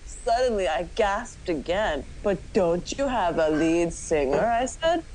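A woman's voice: fairly low pitch, sing-song, a little slow, a little mellow, a little hiss or hum, low-quality recording. A woman giving a comic dramatic reading of fan fiction, often giggly as she goes. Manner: giggly, often breaking into laughter. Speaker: podcast host doing a dramatic reading.

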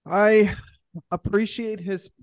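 Halting, casual man co-hosting a podcast. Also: high voice, steady tone, no room sound. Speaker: podcast co-host. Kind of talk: casual chat.

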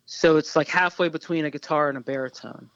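A man in his early fifties, a guest musician in a casual interview, talking in a rambling way with many restarts and fillers. His voice sounds high for a man. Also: fairly even intonation, fairly quick, a bit bright, dry recording, lo-fi sound. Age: 50s. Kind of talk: casual interview about guitars.

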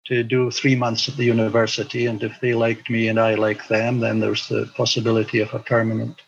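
An older man reflecting on his past in an interview, speaking in a hesitant, halting way. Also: mid-range voice, monotone, gravelly, highs cut off, a little hiss or hum. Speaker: interview guest, former teacher abroad. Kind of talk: recollection in an interview.

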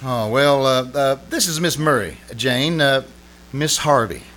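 A man's voice: mid-range voice, unhurried, a bit bright, natural voice. An actor playing an exasperated, wry husband feuding with his wife in an old-time radio comedy, performed live. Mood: flustered politeness. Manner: wry, flustered, protesting. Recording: live stage performance with clear noise.

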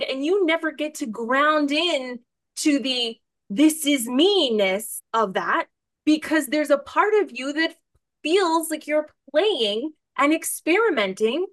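A woman hosting a podcast in casual conversation, effusive and informal, thinking out loud as she talks. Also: sits high, animated, slow pace, no echo. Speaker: podcast host interviewing a guest.

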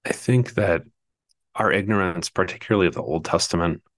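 Guy with a really deep voice, casual podcast chat, explains stuff calmly, dry mic. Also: a little fast, dry recording. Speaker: podcast co-host. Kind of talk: casual theological discussion.

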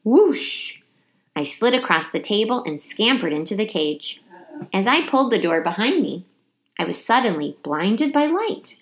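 A woman reading a children's storybook aloud with dramatic, animated delivery and emphasized words. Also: medium pitch, measured pace. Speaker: teacher reading a book aloud. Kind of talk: expressive children's storybook narration.

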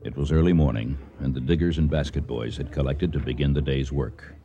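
Deep, dark-voiced scholarly archaeologist. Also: male voice, fairly even intonation, highs cut off. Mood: sober, formal. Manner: formal, deliberate, precise. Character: earnest American archaeologist, measured, scholarly expedition leader. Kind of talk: radio drama acting and first-person narration.

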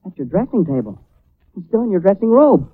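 A man's voice: high-pitched, very animated, fairly quick. An actor playing a deferential, easily frightened young theater call boy in a 1940s radio drama. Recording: noisy, tinny old radio recording.

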